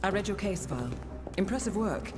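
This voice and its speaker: An actress playing a composed, confident young woman who handles agents in a video game, speaking in a measured, formal way. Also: mid-range voice, expressive, over music, close to an everyday voice. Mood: cool, probing. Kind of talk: cinematic video game dialogue.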